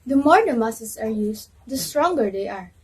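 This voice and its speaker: A woman's voice: a little high, lively intonation, normal speed, husky. A woman playing a proud muscular system that testifies and explains its importance in an amateur educational reader's theater play. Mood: boastful, explanatory.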